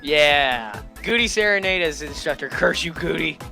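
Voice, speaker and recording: A man hosts a reaction video in a bright, fairly high voice. He talks casually and excitably, breaking into exclamations. Faint music plays behind him. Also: moderate pace, gravelly. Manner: exclamatory, bursting into raised voice.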